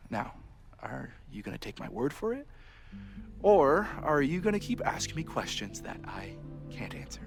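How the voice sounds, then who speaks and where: A man's voice: medium pitch, very animated, moderate pace, creaky, soft background music. A man playing a harried, wry university society president in a video game, theatrical and sarcastic in an exasperated way. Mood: earnest, defensive. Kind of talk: naturalistic video game acting.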